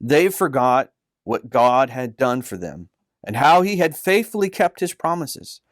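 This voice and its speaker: A man narrates a children's Bible story from a script, measured and earnest. His pitch sits in the middle, and the recording is very dry. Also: very animated, no room sound. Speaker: storyteller, author reading own story.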